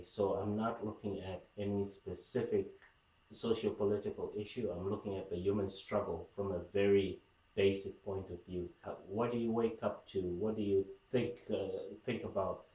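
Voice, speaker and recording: A man in his thirties from Zimbabwe, a performance artist who speaks to the camera about his work in a calm, reflective way, with many fillers and restarts. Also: lowish voice. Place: indoor room with paintings on the wall. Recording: roomy, somewhat distant sound with faint music.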